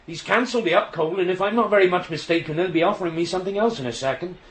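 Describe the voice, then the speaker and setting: An actor playing a proud, touchy middle-aged signalman father in a radio drama, gruff and lecturing. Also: high voice, racing, very noisy, lightly acted. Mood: brisk, businesslike. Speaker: railway signalman in a radio play. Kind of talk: naturalistic radio drama acting.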